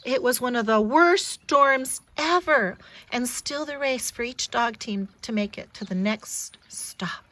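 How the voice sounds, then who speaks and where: A woman reading a children's picture book aloud in an expressive, teacherly way, with simple asides to young listeners. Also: on the high side, measured pace.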